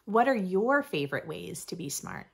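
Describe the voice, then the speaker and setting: A woman in her thirties reading aloud expressively for young children, with playful emphasis and deliberate phrasing. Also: fairly low pitch, big pitch swings, vocal fry, slightly rough. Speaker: host of a children's read-aloud channel. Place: small furnished room indoors.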